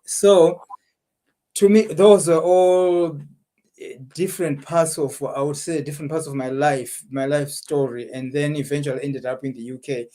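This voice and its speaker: A man's voice: a little high, fairly even intonation, moderate pace, warm tone. A man from Zimbabwe with a Zimbabwean accent, a guest answering interview questions reflectively, with some repeated words.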